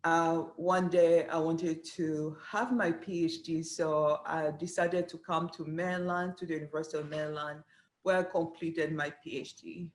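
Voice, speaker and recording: A middle-aged woman speaks in an earnest, measured way, often pausing with small fillers. She sounds like a guest answering questions about her career. Her voice carries a roomy echo. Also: sits low, steady tone. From Rwanda. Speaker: dietetics program director, guest. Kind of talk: interview answers. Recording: echoey room.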